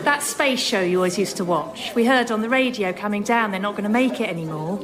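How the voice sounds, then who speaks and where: A woman acting in a radio comedy sketch as a fond, gently concerned British mother. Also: mid-range voice, a little fast, over a noisy crowd, very noisy, natural voice.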